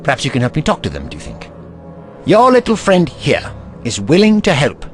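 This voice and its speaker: An actor playing an eccentric, kindly and curious investigator in an audio drama, pensive and courtly in manner. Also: male voice, a little high, huge pitch swings, fairly quick, faint music behind. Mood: pensive, concerned.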